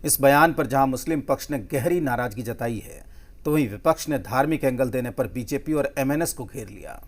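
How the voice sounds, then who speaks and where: A man's voice: medium pitch, a little fast, a little hiss or hum. A man reading scripted news narration as a presenter.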